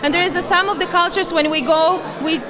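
High-voiced woman from Latvia. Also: fairly even intonation, a little fast. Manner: earnest, slightly non-native grammar. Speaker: guest, fund managing partner. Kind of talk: conference interview. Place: conference hall, in front of a banner wall. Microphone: handheld mic. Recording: busy conference crowd behind. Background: crowd chatter.

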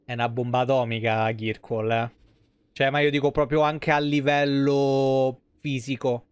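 A man's voice: medium pitch, little pitch movement, unhurried, smooth, dry recording. A man in his thirties with a Roman Italian accent, commentating casually on a game in slangy, rambling talk. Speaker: game streamer. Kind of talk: casual live gameplay commentary.

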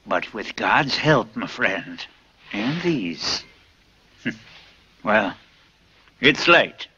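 An actor playing a sixty-year-old plantation master, pompous and cruel, with a self-satisfied drawl that can flare into furious shouting. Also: male voice, on the low side, sing-song, extremely slow, breathy edge, heavily acted. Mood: smug. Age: 60s. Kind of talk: film acting. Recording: dull lo-fi film sound with music.

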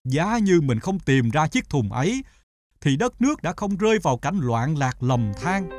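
A man voicing a weary poor peasant in an animated folktale, exclaiming and complaining. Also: a little high, normal speed, soft background music. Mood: lamenting. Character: poor, weary thin peasant man, prone to amazement and lament.

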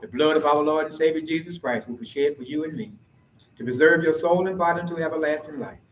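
A man serving as pastor, reciting a communion liturgy in a slow, solemn, measured way from the pulpit of a church sanctuary. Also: a little high, a little hiss or hum. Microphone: pulpit mic from far camera. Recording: roomy church echo.

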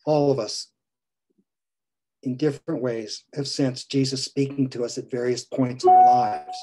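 A priest in his sixties preaching a gentle sermon from a home study over a video call, his phrases trailing off softly. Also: medium pitch, steady tone, a little slow, rough recording. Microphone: video call webcam.

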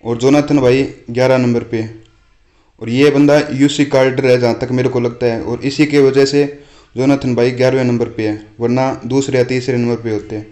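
A man giving informal video commentary as the narrator of a gaming highlights channel. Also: level tone, moderate pace, mellow.

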